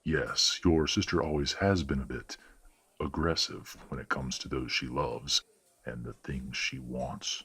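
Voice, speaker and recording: A man playing a stern, courteous, middle-aged werewolf father in an audio roleplay drama, speaking in a formal, measured, authoritative way. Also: rumbling low, animated, creaky, fairly bright tone, husky, full character voice. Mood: measured, insistent.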